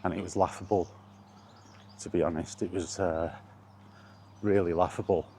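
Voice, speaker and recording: A man's voice: deep voice, steady tone, moderate pace, vocal fry, darkish tone, a little hiss or hum. A British man chatting casually in a wry, colloquial way, like a solo vlogger rambling through his thoughts. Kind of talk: casual rambling chat about gaming.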